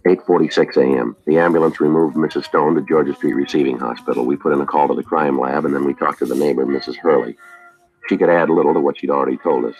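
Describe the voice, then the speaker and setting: An actor playing a calm, businesslike police detective in an old radio drama, terse, polite and procedural. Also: male voice, extremely low pitch, fast. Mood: clipped, official. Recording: dull, lo-fi vintage radio recording.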